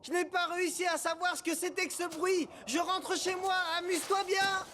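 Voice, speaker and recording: High young boy voice, chatty eager cartoon kid, bit of noise behind. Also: fairly even intonation, normal speed, a bit bright. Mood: curious, helpful. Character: friendly, enthusiastic young boy who loves cross-country skiing. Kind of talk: French-dubbed children's cartoon acting.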